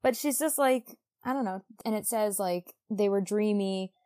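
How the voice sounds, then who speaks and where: A woman's voice: medium pitch, moderate pace, mellow. Female co-host for a book chat show, mid-range voice, chatty and gushing, very informal phrasing. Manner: chatty, gushing, frequent "like" asides. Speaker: podcast co-host. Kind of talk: casual book discussion podcast.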